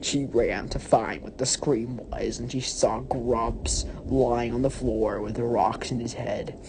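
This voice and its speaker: A woman narrating a story in a mock-dramatic style, with deliberate, theatrical phrasing. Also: very deep voice, steady tone, noisy background. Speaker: story reader.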